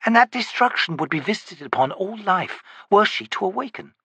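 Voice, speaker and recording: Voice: mid-range voice, very animated, normal speed, gravelly, a bit bright, husky, no echo, voice pushed up, heavily acted. A narrator voicing a wary, impatient male wizard questioning a witch. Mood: probing.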